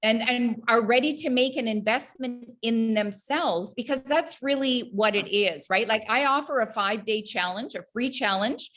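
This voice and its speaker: A woman's voice: on the high side, moderate pace, few pauses, a bit bright. A woman from Canada, a health coach guest in an interview, talking earnestly with many fillers and restarts. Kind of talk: casual interview talk.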